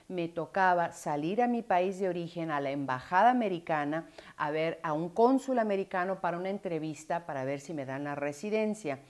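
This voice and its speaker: Earnest lower-voiced woman explaining to camera. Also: darkish tone. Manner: clear and earnest, gesturing with both hands. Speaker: immigration lawyer. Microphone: lapel mic.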